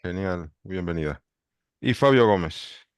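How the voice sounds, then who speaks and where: A man hosting a book club video call, briskly greeting each member. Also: sits low, expressive, clean tone, dry recording, clean studio sound. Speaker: book club director and host. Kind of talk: calling roll on a video call.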